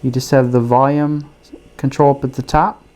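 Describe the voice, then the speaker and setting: A man talks through a gadget review in a soft, mellow voice with a rounded tone. He explains each feature plainly and casually, taking his time. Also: mid-range voice, little pitch movement, moderate pace. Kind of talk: casual product demonstration.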